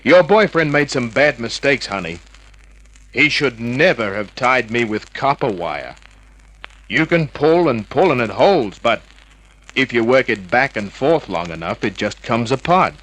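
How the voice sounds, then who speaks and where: An actor playing a cocky, wisecracking hard-boiled private investigator in an old-time radio drama, flippant, slangy and smug. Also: male voice, medium pitch, sing-song, unhurried, fairly bright tone, rough recording. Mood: mocking, smug.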